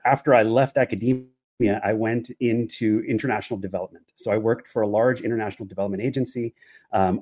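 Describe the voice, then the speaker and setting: Low-pitched man, reflective podcast guest. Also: darkish tone. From United States. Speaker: podcast guest, economist and development specialist. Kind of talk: conversational interview answer.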